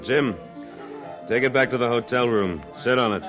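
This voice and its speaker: An actor playing a tough, independent cattleman in a radio western, laconic and deadpan. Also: medium pitch, normal speed, lo-fi sound, music in the background, natural voice. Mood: dry, firm. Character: tough, dry, independent cattleman of the Old West. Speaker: lead actor and narrator. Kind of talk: 1950s radio western acting. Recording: vintage radio drama recording.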